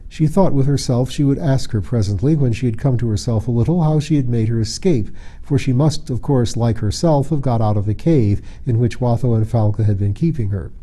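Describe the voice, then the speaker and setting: A man narrates a storybook with expression. His voice is mid-pitched and very soft and dark in tone, and his delivery is measured and literary. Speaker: audiobook reader.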